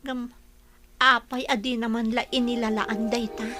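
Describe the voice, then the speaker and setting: A woman playing a frank, expressive young single woman in an audio drama. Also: on the high side, moderate pace, loud music behind the voice, lightly acted. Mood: wistful. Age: young adult. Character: young single woman, hospital worker, still heartbroken, frank. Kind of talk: Ilocano audio drama acting.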